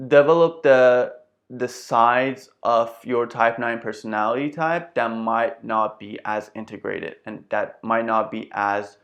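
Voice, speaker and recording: Young adult man, Iranian accent, earnest and reflective vlogger voice, mid-pitched, speaking indoors to a camera. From Iran. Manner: earnest, reflective, gestures with hands while talking. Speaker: self-development video host. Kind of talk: casual talk to camera. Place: bright room indoors with houseplant.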